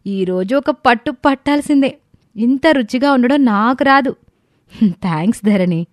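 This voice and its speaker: A woman's voice: on the high side, lively intonation, unhurried. A woman voicing a friendly, cheerful young village daughter-in-law in a story, affectionate and full of praise. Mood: cheerful, admiring.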